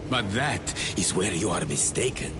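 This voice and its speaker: An actor voicing a zealous, secretive scholarly investigator in a sci-fi video game, with a theatrical, measured, ominous delivery. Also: male voice, low-pitched, lively intonation, background noise. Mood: ominous conviction. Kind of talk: dramatic video game acting. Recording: loud music behind the voice.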